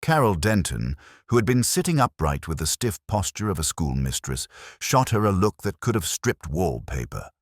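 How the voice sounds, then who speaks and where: Very deep male story narrator. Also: sing-song, moderate pace, no echo, studio quality. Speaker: audiobook narrator. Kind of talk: scripted first-person detective fiction narration.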